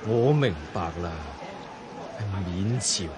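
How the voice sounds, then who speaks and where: An actor playing a calm, devout Buddhist monk with a composed manner, in a Hong Kong Cantonese radio drama. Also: male voice, on the low side, background noise. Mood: pondering. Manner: composed, measured. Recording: radio drama with loud outdoor crowd ambience.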